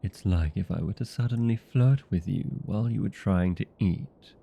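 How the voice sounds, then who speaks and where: A man playing a chatty, petty, century-old vampire in a comedic audio roleplay, talking in a wry, conversational, mildly complaining way with drawn-out words. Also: deep voice, vocal fry, warm tone, slightly rough, dull audio, exaggerated voice. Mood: mildly annoyed. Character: chatty, petty, 127-year-old male vampire being interviewed.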